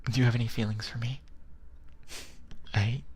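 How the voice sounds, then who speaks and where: A man playing a shy, lovestruck friend in an ASMR boyfriend roleplay, speaking in a soft, breathy whisper with a hesitant, halting manner. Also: medium pitch, flat delivery. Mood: vulnerable, confessing. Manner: hesitant, halting, gently awkward. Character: shy, lovestruck male friend confessing feelings.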